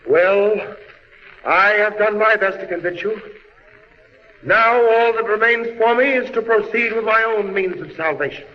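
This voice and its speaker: A high-pitched, impassioned scientist from another world speaks like a prophet of doom, grave and oratorical. The sound is muffled, with hiss and a long reverberant tail. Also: male voice, measured pace. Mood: bitter resolve. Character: impassioned alien scientist warning of doom, husband and father. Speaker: radio drama actor. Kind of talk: 1940s radio drama acting. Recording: muffled, hissy old radio recording, long reverb.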